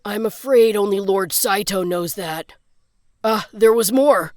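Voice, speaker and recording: A woman playing a polite, nervous tavern server in an animated story set in old Japan, deferential and hesitant. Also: mid-range voice, a little slow, no echo, studio quality, lightly acted. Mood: earnest. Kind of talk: dramatized animated bedtime story. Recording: loud music under the voice.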